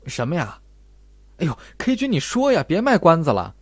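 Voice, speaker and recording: A man's voice: fairly high pitch, normal speed, no room sound, pitched above the performer's own voice. Man doing an eager, goofy young sidekick. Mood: pleading. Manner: excitable, pleading, naive.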